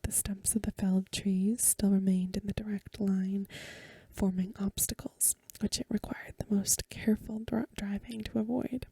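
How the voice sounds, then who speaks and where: A woman reading a story aloud in a soft, breathy, mostly whispered ASMR style, gentle and unhurried, close to the microphone. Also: mid-range voice, level tone, dry recording. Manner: gentle, unhurried, literary narration.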